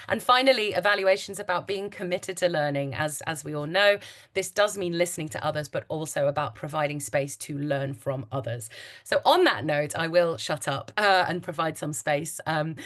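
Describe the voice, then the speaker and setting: A woman giving an online presentation over a video call, brisk with filler words. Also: low-pitched, fairly bright tone. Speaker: panel speaker.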